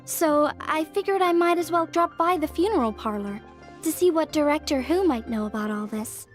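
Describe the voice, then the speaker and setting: A woman's voice: high voice, moderate pace, lightly acted. A young woman voicing an earnest craftswoman from a fortune-telling clan, explaining things in a modest, careful way. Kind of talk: video game voice acting. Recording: game cutscene with faint music.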